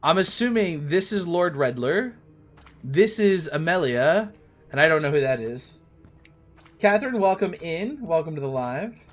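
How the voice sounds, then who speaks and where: A man's voice: fairly high pitch, animated, a little slow, faint music behind. A man in his late thirties chatting casually and in a friendly way with viewers while he plays a game on a livestream, often thinking aloud. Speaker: live streamer playing a game.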